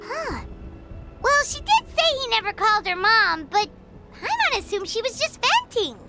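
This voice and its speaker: A very high, squeaky little companion, curious and chirpy. The pitch leaps around wildly from one word to the next. Bright game music plays loudly behind her. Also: very animated, normal speed, full character voice.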